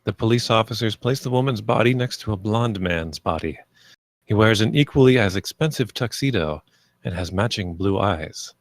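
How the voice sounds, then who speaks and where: A man giving scripted narration, reading screenplay stage directions in a plain, measured manner. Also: lowish voice, expressive.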